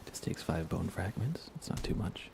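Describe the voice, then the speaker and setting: Low-pitched man, soft-spoken to whispery, creaky, casual ASMR game streamer, noisy background ambience. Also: very animated, moderate pace. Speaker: livestreaming gamer. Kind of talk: ASMR gaming chat, casual and hushed.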